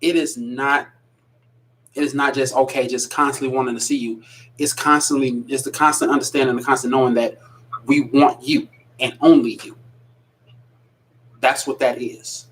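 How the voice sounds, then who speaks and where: A man's voice: mid-range voice, fairly even intonation, normal speed, long pauses, fairly bright tone, poor audio. A man co-hosting a podcast, talking earnestly and repeating phrases for emphasis. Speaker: podcast co-host. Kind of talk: casual chat.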